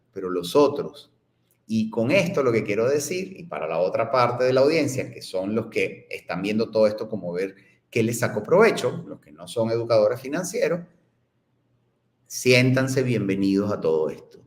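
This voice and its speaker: A man's voice: medium pitch, reverberant. Earnest man with a Venezuelan accent. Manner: earnest, encouraging, emphatic. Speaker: guest. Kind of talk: online panel conversation.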